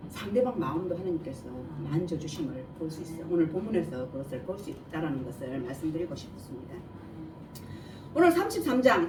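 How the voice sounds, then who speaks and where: A woman preacher with a regional Korean dialect and slightly imprecise, lisping articulation explains scripture verse by verse, putting questions to listeners. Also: mid-range voice. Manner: asks listeners rhetorical questions and answers them. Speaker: preacher giving a Bible message. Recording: echoey room, distant mic, faint noise.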